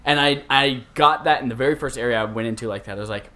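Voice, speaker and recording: A man's voice: mid-range voice, quick pace, bright, forceful tone. A man co-hosting a gaming podcast in casual, conversational chat with friends. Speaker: podcast co-host.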